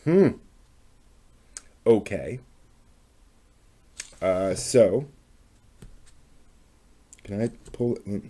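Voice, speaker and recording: A man's voice: lowish voice, animated, extremely slow, long pauses, warm tone. A man talking casually through a hands-on craft demonstration, muttering tentatively to himself as he works. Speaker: craft vlog host. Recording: clear background noise with clicking.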